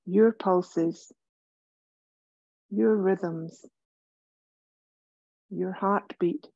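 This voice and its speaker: A woman leading a guided relaxation meditation as a yoga teacher, gentle and soothing, speaking in measured phrases with pauses. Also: fairly low pitch, fairly even intonation, gravelly, no echo, highs cut off.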